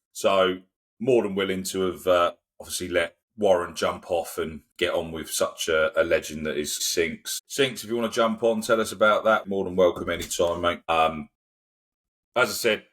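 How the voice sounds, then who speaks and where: A man hosting a rugby podcast in an informal, chatty way with many fillers. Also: sits low. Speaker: podcast host. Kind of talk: podcast interview.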